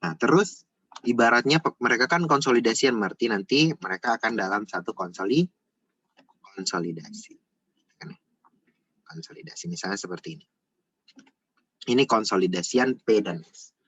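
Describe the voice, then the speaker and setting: Male tutor, husky voice, informal and repetitive explainer, close dry sound. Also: fairly low pitch, lively intonation, moderate pace, long pauses, a bit bright, dry recording, poor audio. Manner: repeats himself, frequent checking tags. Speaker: accounting tutor.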